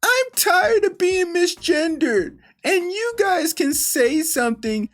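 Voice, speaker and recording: A man voicing a whiny, sobbing young woman in a high, tearful, mocking imitation. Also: very animated, slow, faint music behind, full character voice. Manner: mocking exaggerated crying imitation.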